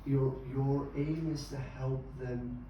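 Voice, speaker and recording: A man guiding a group discussion in an encouraging, measured way. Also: medium pitch, steady tone, highs cut off, background noise. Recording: echoey room, distant mic.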